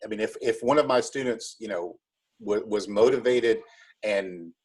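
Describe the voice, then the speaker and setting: A man being interviewed as a school drama club director, explaining in a casual, halting way. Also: lowish voice, a little mellow, slightly rough, poor audio.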